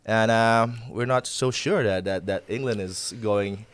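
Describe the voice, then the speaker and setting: A man's voice: lowish voice, a little slow, smooth, no echo. A man hosting a casual football talk show, hesitant with many filler words.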